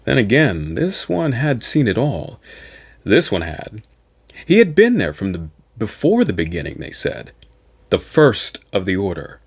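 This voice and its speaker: A man giving a dramatic, theatrical narration of science fiction in a deliberate manner, as an amateur audiobook reader. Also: lowish voice, wildly swooping pitch, creaky, husky.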